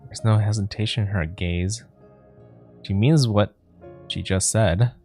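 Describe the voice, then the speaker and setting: A man's voice: on the low side, measured pace, warm tone, faint music behind. A man giving casual let's play commentary as a game streamer.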